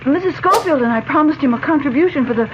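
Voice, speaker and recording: An actress playing a frightened, protective wife in a radio drama, speaking hesitantly and anxiously. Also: female voice, sits high, lively intonation, quick pace. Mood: nervous. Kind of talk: old-time radio drama acting. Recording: dull, noisy old radio broadcast recording.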